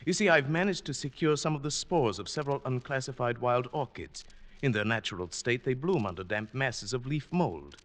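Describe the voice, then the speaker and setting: An actor playing a mild, henpecked middle-aged professor with a hesitant, apologetic manner and quiet resentment, in a 1940s radio drama. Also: male voice, mid-range voice, lively intonation, normal speed, lightly acted. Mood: mild, evasive.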